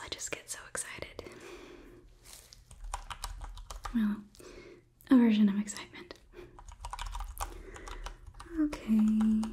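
A young woman playing a polite, condescending robot overlord in a soft, breathy whispered ASMR roleplay, clinical and curious. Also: on the high side, close to an everyday voice. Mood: fascinated, then curious, concerned. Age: 20s. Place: small indoor studio set. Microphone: close ASMR microphone.

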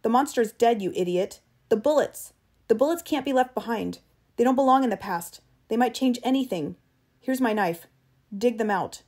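A woman's voice: medium pitch, normal speed, a little mellow, lightly acted. A woman voicing a furious, hard-bitten male time-safari guide who barks commands and accusations. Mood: angry, commanding.

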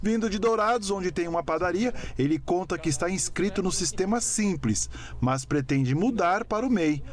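A male TV reporter, narrating a local news story in a measured, deliberate way. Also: high voice, animated. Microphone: handheld microphone.

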